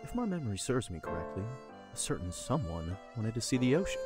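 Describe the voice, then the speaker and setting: Man acting a lively, gallant young sweetheart. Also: on the low side, fairly quick. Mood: eager, fond. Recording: violin music under the voice.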